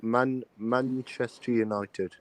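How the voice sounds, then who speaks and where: A man's voice: medium pitch, fairly even intonation, takes it slowly. A man chatting on a stream, hesitant, stammering and restarting his questions. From United Kingdom. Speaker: stream guest. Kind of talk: casual live-stream chat.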